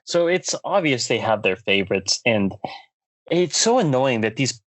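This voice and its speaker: A man's voice: medium pitch, big pitch swings, a little slow, a bit bright, no room sound. Casual man co-hosting a podcast, dry sound. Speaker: podcast co-host.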